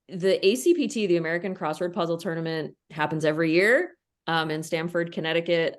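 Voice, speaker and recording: Woman, low voice, fluent and upbeat explainer; crossword constructor as interview guest. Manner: fluent, enthusiastic explainer, occasional laughs. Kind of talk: casual interview answer.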